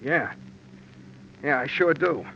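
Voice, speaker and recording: An actor playing an edgy, snappish young factory worker with nervous tension in a 1940s radio drama. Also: male voice, fairly high pitch, takes it slowly, vocal fry, very noisy. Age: young adult. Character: jittery, irritable young male saboteur and factory worker. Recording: dull old radio recording.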